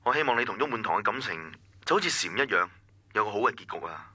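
An actor playing a caring, gently persuasive male confidant in a radio drama, with a Hong Kong Cantonese accent. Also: on the low side, sing-song, fast, husky, dry recording, exaggerated voice.

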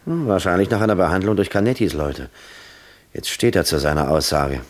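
An actor in a German radio play voicing a blunt, world-weary police lieutenant with a sardonic manner. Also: male voice, low voice, lively intonation, fairly quick. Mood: businesslike. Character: jaded, blunt police lieutenant, twelve years in service.

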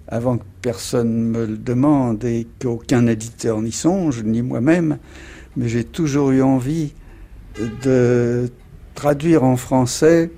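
Slow, mellow older man in an archive clip. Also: mid-range voice. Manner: slow, musing, hesitant. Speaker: archive interviewee, translator. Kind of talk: archival radio interview.

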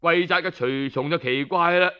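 A man telling historical tales in Cantonese in an expressive, colloquial traditional storytelling style. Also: a little high, moderate pace, clear, resonant tone. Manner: expressive, colloquial, with rhetorical interjections. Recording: dull lo-fi audio.

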